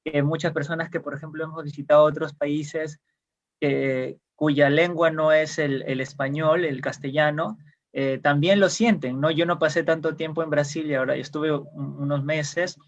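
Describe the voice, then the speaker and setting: Peruvian guy on a video call, gravelly voice, lots of ums and restarts, kinda muffled sound. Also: a little high, fairly even intonation, moderate pace. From Peru. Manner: hesitant, many fillers and restarts. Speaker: guest. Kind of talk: casual conversational explanation.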